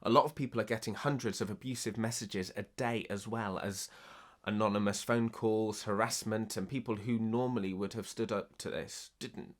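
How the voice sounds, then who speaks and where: A man talks in a documentary interview in a somewhat low voice. He sounds emphatic and frustrated, and his words come out blunt and forceful. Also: fairly even intonation, normal speed.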